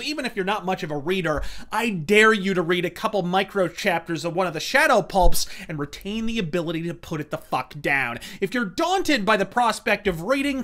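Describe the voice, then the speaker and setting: High-pitched, forceful man ranting as host. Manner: emphatic, profane, verbose. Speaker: host and reviewer. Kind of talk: scripted comedic rant-style commentary.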